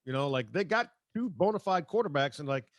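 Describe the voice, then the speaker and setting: A man hosting a sports talk show, speaking conversationally and haltingly as he comments and reads out quotes. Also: on the high side, lively intonation, no room sound.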